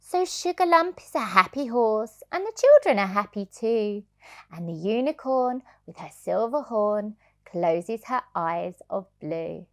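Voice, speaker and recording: A woman reading a children's storybook aloud as a childminder, in an expressive, sing-song way. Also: medium pitch, unhurried.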